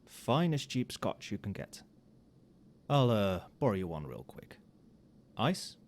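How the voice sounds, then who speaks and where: A man playing an overworked magic professor in a casual, soft-spoken ASMR roleplay, muttering with dry sarcasm. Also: on the low side, sing-song, takes it slowly, full character voice. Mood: friendly, confiding. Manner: casual, muttering, dryly sardonic. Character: wry, overworked male magic professor grading papers late at night.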